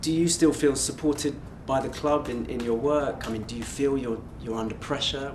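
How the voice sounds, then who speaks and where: A man's voice: medium pitch, fairly even intonation, normal speed. Male reporter in an echoey, noisy room. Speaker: sports reporter. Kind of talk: press conference questioning. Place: indoor press conference room. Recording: echoey room, clear background noise.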